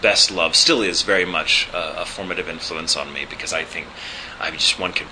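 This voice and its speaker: A man's voice: deep voice, noisy background. A man from Texas with a neutral American accent tinged with a proper British lilt, speaking articulately and thoughtfully in an interview, with frequent fillers. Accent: neutral American with a slight proper British lilt. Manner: articulate, measured, with frequent uh fillers and small restarts. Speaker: voice actor and ADR director. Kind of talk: reflective interview storytelling.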